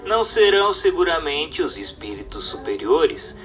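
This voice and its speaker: A man's voice: a little high, sing-song, normal speed, fairly bright tone, distant mic, soft background music. A man narrating a scripted radio drama adaptation of a book, reading in an emphatic, declamatory way.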